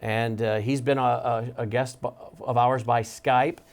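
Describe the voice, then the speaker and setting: A man's voice: medium pitch, level tone, unhurried. A man hosting a studio interview show, speaking emphatically and with mounting urgency. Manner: emphatic, urgent, building intensity. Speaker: talk show host. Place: studio desk.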